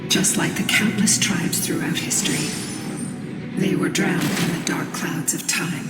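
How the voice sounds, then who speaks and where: An ancient female winged beast guarding a ruin in a fantasy game. She speaks slowly and solemnly, breathy and stately, drenched in long reverb over loud music. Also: fairly low pitch. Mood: grave. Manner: slow, stately, formal delivery. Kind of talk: video game voice acting. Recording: distant reverberant hall effect, loud music.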